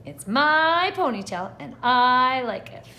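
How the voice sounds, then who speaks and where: A woman's voice: high-pitched, animated, takes it slowly, bright, forceful tone, slight background noise, exaggerated voice. A woman voicing a stubborn, defiant little schoolgirl from a storybook, declaring things firmly and emphatically. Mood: proud, defiant.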